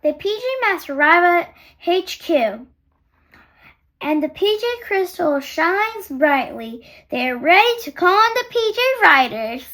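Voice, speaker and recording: A very high, bright young female voice reads a picture book aloud at an unhurried pace. The delivery is sing-song and halting, as a storyteller speaking to small children. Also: lively intonation, rough recording.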